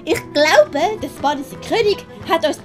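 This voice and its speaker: A woman's voice: very high voice, animated, unhurried, faint music behind, pitched above the performer's own voice. A woman voicing an eager, bumbling male Spanish sergeant in a comic audio play, flustered and stammering, with a Swiss German accent.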